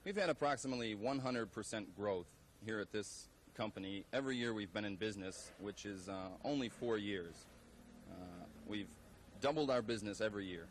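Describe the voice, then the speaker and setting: Lowish businessman, faint noise. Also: a little fast. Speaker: company executive interviewee. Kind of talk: news interview answer. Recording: archival TV interview, faint noise.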